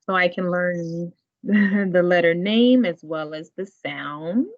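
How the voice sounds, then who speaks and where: A woman from the library staff demonstrating a language database in an online tutorial, in a relaxed, chatty way. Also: fairly low pitch, slow pace. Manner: relaxed, chatty, laughs often. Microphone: video call. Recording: muffled online meeting audio.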